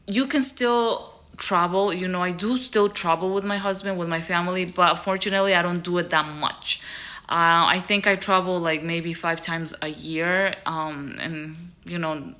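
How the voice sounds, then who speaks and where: A woman's voice: on the low side, fairly even intonation, measured pace, pushed and bright. A young woman from Ecuador giving rambling, earnest advice to her viewers in a casual vlog, with lots of fillers. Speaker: YouTube creator. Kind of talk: casual vlog talk to camera.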